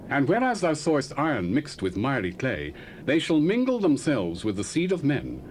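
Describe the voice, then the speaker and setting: A man's voice: mid-range voice, a little hiss or hum, low-quality recording. An actor playing a young male prophet, speaking in solemn, formal, old-fashioned declamation in a dramatized scripture reading.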